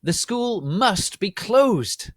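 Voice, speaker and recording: Man playing outraged female bureaucrat, high raised voice, slow emphatic declamation, stern, storybook melodrama. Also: sing-song, dry recording, studio quality, full character voice.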